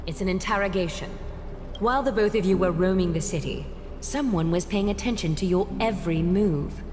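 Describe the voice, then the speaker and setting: An actress playing a solemn, regal leader in a fantasy game, speaking in a measured, formal and commanding way. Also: female voice, lowish voice, close to an everyday voice. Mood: stern, judging. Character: solemn, regal woman leader, ritual guide and interrogator. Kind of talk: scripted fantasy game dialogue. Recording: long reverb, clear music behind.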